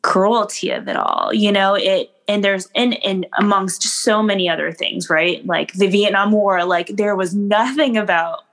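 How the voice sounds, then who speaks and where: A woman's voice: medium pitch, a little slow, few pauses, fairly bright tone. A woman from the United States in casual conversation, chatty and full of fillers, laughing often.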